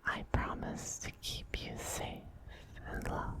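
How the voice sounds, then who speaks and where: A man voicing a tender, dominant, effeminate vampire queen who soothes a beloved pet in a breathy, soft-spoken ASMR roleplay whisper. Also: close to an everyday voice. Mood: sleepy devotion. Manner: slow, soothing, doting.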